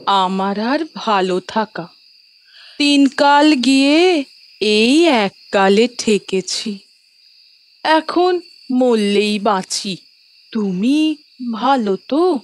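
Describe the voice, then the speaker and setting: Elderly female servant, high pitch, unhurried delivery, anxious, grumbling, superstitious, horror radio play. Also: lively intonation. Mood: weary. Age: older. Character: elderly Bengali maidservant, fretful, grumbling, devoted, superstitious. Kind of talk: audio horror drama acting.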